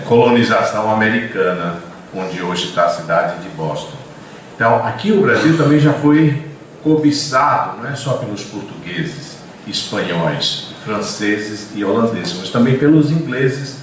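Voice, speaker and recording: A man's voice: on the low side, a bit bright, recorded from a distance, slight background noise. An older man, a university professor, giving a discursive lecture with frequent hesitations and restarts. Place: lecture room. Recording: echoey classroom recorded from afar.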